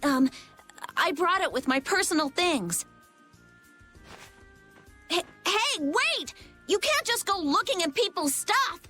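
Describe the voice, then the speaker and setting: High, brisk young game girl. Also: very animated, bright, forceful tone, faint music behind, full character voice. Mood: flustered. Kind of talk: English anime game dub acting.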